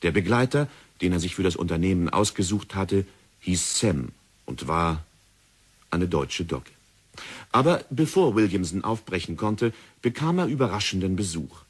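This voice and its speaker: A man's voice: low voice, sing-song, moderate pace, breathy edge. A man narrating an old radio play in a scripted storytelling manner.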